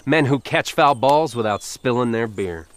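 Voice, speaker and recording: A man giving a punchy, comedic scripted ad pitch in a brash, mock-macho manner. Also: lively intonation, normal speed, heavy background noise. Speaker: commercial pitchman.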